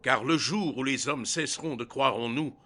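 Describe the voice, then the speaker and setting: An actor playing Zeus, a blustering, fatherly king of the gods in a comic audio drama, giving booming commands. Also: normal speed, bright, forceful tone. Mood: solemn. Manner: booming commands, stammers when flustered.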